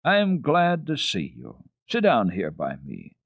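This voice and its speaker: Gracious older storybook lady, played by a male reader: husky, courteous, polite, dry sound. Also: medium pitch, huge pitch swings, unhurried, dry recording, pitched above the performer's own voice, heavily acted. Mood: cordial.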